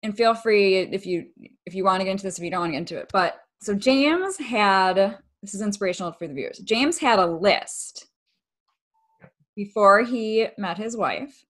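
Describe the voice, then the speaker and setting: A woman's voice: mid-range voice, expressive, normal speed, fairly bright tone. A woman hosting a relationship talk show, asking rambling and enthusiastic questions. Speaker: host, relationship expert. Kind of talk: interview host conversation.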